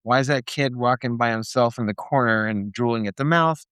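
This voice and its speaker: A man's voice: medium pitch, brisk, fairly bright tone, clear, resonant tone, no echo, studio quality. A man co-hosting a podcast, talking casually and at length, rambling a little and joking about himself. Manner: chatty, rambling, self-deprecating. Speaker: podcast co-host.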